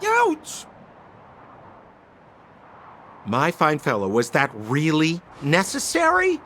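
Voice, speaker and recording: A flamboyant game rogue with a high, swooping voice. He is glib and wheedling, a sly treasure hunter working his charm, with some noise behind him. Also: wildly swooping pitch, unhurried, pushed and bright, exaggerated voice. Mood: wounded, theatrical. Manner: theatrical, ingratiating, glib. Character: flamboyant, sly, wheedling young male treasure-hunting con man. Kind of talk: video game voice acting.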